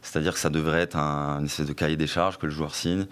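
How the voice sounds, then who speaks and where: A man's voice: extremely low pitch, little pitch movement, quick pace, fairly bright tone. A middle-aged man, a former club press officer, answering questions on a radio talk show with many fillers and restarts.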